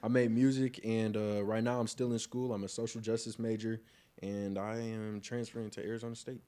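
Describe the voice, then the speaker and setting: A man in his twenties chatting on a podcast in a relaxed way, picking up speed when he explains something. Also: fairly low pitch, a little fast, smooth. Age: 20s. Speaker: podcast co-host. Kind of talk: casual chat.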